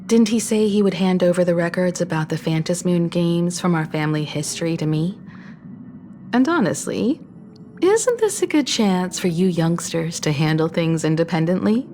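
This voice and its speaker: A woman playing a calm, poised mentor. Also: lowish voice, moderate pace, slight background noise, natural voice. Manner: calm, composed, gently authoritative.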